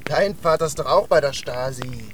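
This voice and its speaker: A man acting a needling boy at summer camp in a radio play. His voice is fairly high and comes from off the microphone, with clear noise around it. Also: normal speed, lightly acted. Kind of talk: radio drama dialogue. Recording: distant, with loud background noise.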